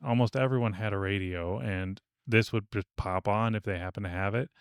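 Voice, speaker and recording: A man co-hosting a casual podcast discussion, explaining things in a measured way. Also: fairly low pitch, steady tone, clear, resonant tone, no room sound, clean studio sound. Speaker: podcast co-host. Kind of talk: casual panel discussion of old radio serials.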